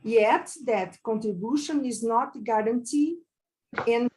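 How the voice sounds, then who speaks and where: Brazilian woman giving a talk in english, slightly high voice, goes slow, lots of uh and restarts. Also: darkish tone, low-quality recording. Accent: Brazilian Portuguese. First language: Portuguese. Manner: slow and careful, frequent hesitations and repeated words. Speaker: university lecturer. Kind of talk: academic conference lecture.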